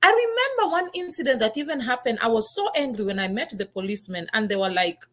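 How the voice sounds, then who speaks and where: A woman's voice: on the high side, big pitch swings, fast talker, fairly bright tone. A Kenyan woman with a Kenyan accent, hosting a talk and telling her own story in an earnest, emphatic way. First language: her Kenyan mother tongue. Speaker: talk show host.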